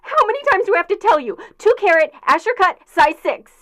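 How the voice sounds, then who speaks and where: A woman's voice: very high voice, animated, fairly quick, a bit bright. A woman playing a demanding, jealous fiancée in a comic radio drama, nagging with sarcasm and melodrama. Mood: bossy nagging. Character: shrill, demanding, jealous fiancée of a timid man.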